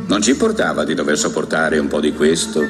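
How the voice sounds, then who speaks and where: A man's voice: extremely low pitch, lively intonation, a little fast. A man delivering scripted storytelling narration in the form of a monologue. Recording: loud music under the voice.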